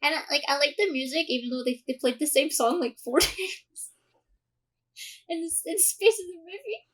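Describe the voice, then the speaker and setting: A woman's voice: high voice, fairly quick. A woman co-hosting a podcast in casual chat, with hesitant phrasing full of "like". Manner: hesitant phrasing, frequent use of "like". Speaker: podcast co-host.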